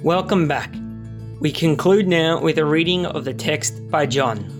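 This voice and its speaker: A man calmly reading scripted instructions to pause for a guided reflection. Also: fairly high pitch. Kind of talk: scripted announcement. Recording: distant voice over guitar music. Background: plucked guitar music.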